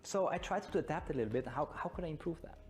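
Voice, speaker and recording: A man's voice: fairly high pitch, lively intonation, rapid-fire. A middle-aged man with a German accent giving an informal conference talk, often putting questions to his listeners. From born in Belgium, studied in Germany, long in Brazil. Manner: conversational, engaging the audience with questions. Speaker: Scrum trainer and conference speaker.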